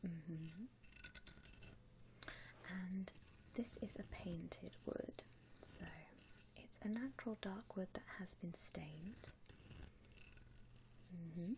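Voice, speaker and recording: Slow, whispering woman, soft ASMR roleplay. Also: fairly low pitch, noisy background. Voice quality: breathy whisper. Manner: soothing, polite, unhurried, reassuring. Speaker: ASMR roleplay performer as a coffin shop assistant.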